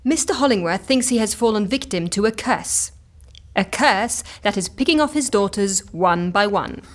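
An actress playing an exasperated, sceptical assistant in a comedy audio drama, dry and easily flustered. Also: female voice, medium pitch, expressive, moderate pace, natural voice. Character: exasperated, sceptical woman assistant at eccentric agency.

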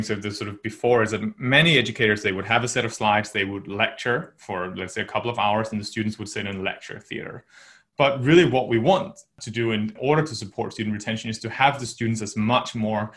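A man's voice: on the low side, a little fast, a bit bright. A man from Denmark, a company founder, giving earnest, explanatory answers as a podcast guest. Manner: earnest, explanatory, some restarts.

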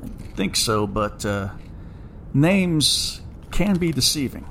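An actor playing a mysterious, sly and unhurried dark stranger in an audio drama, answering cryptically and with hesitation. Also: male voice, mid-range voice, sing-song, slight background noise, full character voice. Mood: cryptic, guarded.

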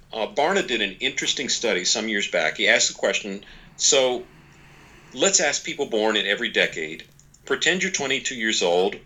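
A man's voice: mid-range voice, pushed and bright. A man in his forties speaking as a podcast guest, explaining ideas conversationally in a folksy, emphatic way with repetition and quoted asides. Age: 40s. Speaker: podcast guest, author and organization leader.

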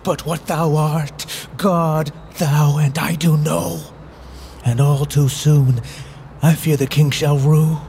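A man's voice: a little high, a little mellow. An actor playing a proud, ageing English nobleman with a grievance, speaking Shakespearean verse with impassioned declamation. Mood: bitter, defiant. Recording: faint background noise.

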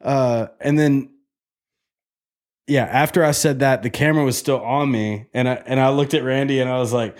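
A man's voice: medium pitch, fairly even intonation, moderate pace. A man in his twenties hosting a podcast, telling a long story in a loose, joking way and swearing freely. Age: 20s. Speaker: podcast host. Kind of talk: casual chat.

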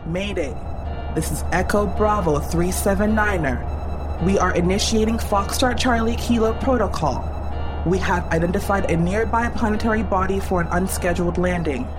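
A woman's voice: mid-range voice, moderate pace, music in the background, lightly acted. A woman playing a desperate spaceship pilot making urgent, clipped distress calls in an audio drama. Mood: tense, formal. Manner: clipped radio protocol, urgent.

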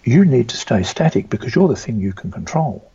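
A man explaining a trading point in an interview, measured and deliberate, with small restarts, on a dull lo-fi recording. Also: mid-range voice, big pitch swings, gravelly, warm tone, faint music behind. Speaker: trading mentor. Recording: dull lo-fi sound.

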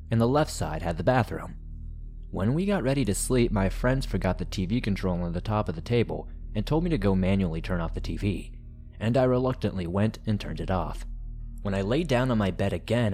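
A man reading first-person horror stories as a narrator, in a measured, deliberate storytelling manner. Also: lowish voice, darkish tone, husky. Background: background music.

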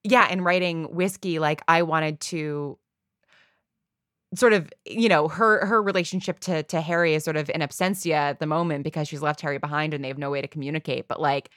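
A woman's voice: low-pitched, fairly even intonation, brisk, fairly bright tone, no room sound, studio quality. An American woman in her thirties from New York, a podcast guest chatting casually in a rambling, self-reflective way. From New York, USA. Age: 30s. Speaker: podcast guest, audio drama writer. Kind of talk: casual interview chat.

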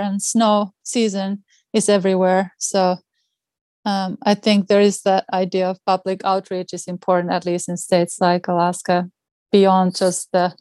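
A middle-aged woman with a Finnish accent talks casually as a podcast guest. Her voice sits at an average pitch, in a dry recording free of room echo. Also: darkish tone. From Finland. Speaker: podcast guest, outdoor educator. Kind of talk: casual interview chat.

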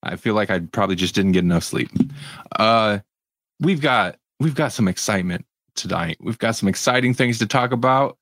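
A man's voice: lowish voice, expressive, moderate pace, a bit bright, no room sound, clean studio sound. A man hosting a podcast, chatting loosely with friends and laughing often. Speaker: podcast host. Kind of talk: casual chat.

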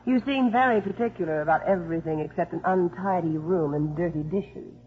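An actress playing a crisp, formal female court investigator who also narrates, in a 1950s radio drama. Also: lowish voice, fairly quick, slight background noise, lightly acted. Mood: prim, official. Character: prim, composed young female court welfare investigator. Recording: muffled 1950s radio recording, music behind.

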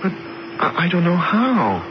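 A man's voice: high-pitched, wildly swooping pitch, slow, heavily acted. An actor playing a penniless, lovesick young man in a radio drama, helpless, plaintive and stammering. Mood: desperate. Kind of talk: 1970s radio drama acting. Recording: muffled, noisy old radio recording.

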